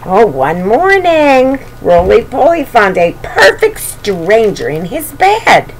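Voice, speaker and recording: A woman's voice: fairly high pitch, wildly swooping pitch, takes it slowly, slight background noise, rough recording. An older woman reading a picture book aloud to young children, with chatty, playful, singsong asides. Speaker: children's storybook reader and show host. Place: bedroom at home.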